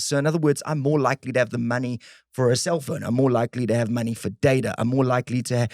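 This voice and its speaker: An animated South African man, a comedian guest on a podcast, making his case in quick, earnest bursts. His voice sits at a middle pitch, and the close studio mic gives it a dry, tight sound with almost no room in it. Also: no echo. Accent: South African English. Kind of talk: casual podcast chat.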